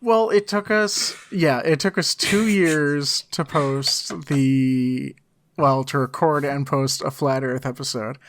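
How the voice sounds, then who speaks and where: A man's voice: mid-range voice, slow pace, fairly bright tone, a little hiss or hum. A man co-hosting a podcast, chatting casually with dry, wry humour. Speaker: podcast co-host. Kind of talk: casual comedic banter.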